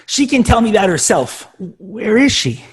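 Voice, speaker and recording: A performer playing a clingy, lovesick, nerdy young boy with a husky voice and melodramatic delivery in a sitcom table read. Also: medium pitch, sing-song, unhurried, full character voice. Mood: pleading, dramatic. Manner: melodramatic, swooping between pleading and wailing.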